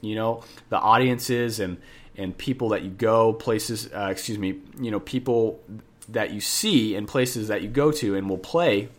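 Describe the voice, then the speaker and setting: A man's voice: lowish voice, measured pace. A man hosting a podcast lesson on jazz piano, talking casually with a stuffed-up nose, repeating key points and correcting himself as he goes. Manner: chatty, repeats points for the listener, self-corrects.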